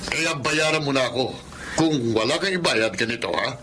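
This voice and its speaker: A man's voice: mid-range voice, animated, normal speed, background noise, close to an everyday voice. A man playing a menacing, wealthy gambling boss in a melodrama, scolding and domineering. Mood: menacing, angry. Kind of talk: Filipino radio-style melodrama acting.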